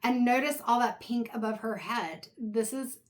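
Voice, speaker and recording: A woman giving a casual tarot reading straight to the viewer. She is losing her voice and keeps clearing her throat as she talks in a meandering, reflective way. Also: on the high side, moderate pace. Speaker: tarot reader.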